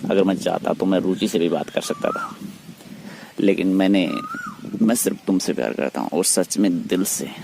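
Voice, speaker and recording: A man speaking in a private phone conversation, pleading and persuading in a halting, repetitive way. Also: sits low, wildly swooping pitch, creaky. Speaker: teacher. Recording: noisy phone call recording.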